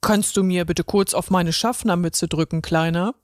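Storybook figure: gentle, deep-voiced pen ticket inspector, voiced by a woman, low and warmly encouraging, dry recording. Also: steady tone, moderate pace, dry recording, close to an everyday voice. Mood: friendly, curious. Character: kindly male pen ticket inspector with mustache. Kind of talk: children's story reading.

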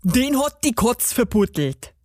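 A cheeky, ill-tempered male garden gnome from a storybook acted out by a woman narrator. He scolds in rough everyday speech with a bandit's swagger. The sound is dry and close. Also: fairly high pitch, big pitch swings, measured pace, a little mellow, no echo. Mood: sheepish, cheeky. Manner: scolding, gruff colloquial speech.

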